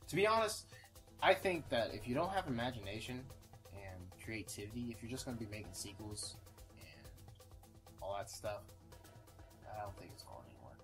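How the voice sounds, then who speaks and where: A man's voice: lowish voice, big pitch swings, normal speed, long pauses, fairly bright tone, soft background music. A young man chatting casually with a friend, hesitant and giggly, making fun of himself. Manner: hesitant, giggly, self-mocking. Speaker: guest friend. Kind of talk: casual chat.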